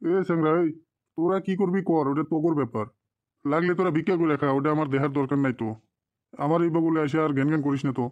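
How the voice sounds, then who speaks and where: A man as a callous, high-pitched bullying boss. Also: steady tone, fast talker, a little mellow, dull audio, lightly acted. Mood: scornful. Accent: rural Bengali dialect. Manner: scolding, dismissive. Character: callous, exploitative boss and his bullying henchman. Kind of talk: cartoon drama dialogue.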